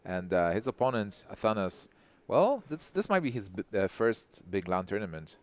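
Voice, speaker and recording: A male esports caster chats off the cuff at the desk. His voice sits fairly low, and he halts and doubles back on words. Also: big pitch swings, moderate pace. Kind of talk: live desk chat, unscripted.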